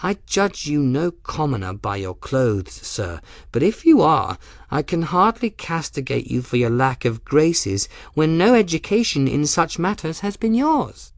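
A man's voice: on the high side, sing-song, measured pace, no echo, clean studio sound, voice pushed up. A man voicing a haughty, easily offended young noblewoman in a storybook, arch, precise and imperious.

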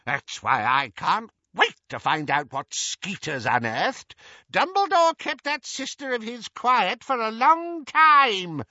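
Storybook casting: ancient witch great-aunt played by a man, screechy high voice, blunt, tipsy, gleefully malicious gossip, wildly swooping delivery. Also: huge pitch swings, slow, sharp tone, dry recording, muffled recording, exaggerated voice. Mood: gloating. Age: over 100. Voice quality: shrill, cackling, screechy.

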